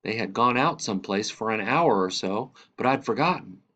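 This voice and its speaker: Man acting out a dramatic storytelling grandfather, animated. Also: lowish voice, normal speed. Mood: dramatic, anxious. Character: storytelling grandfather, candid, wry, fond of his grandson.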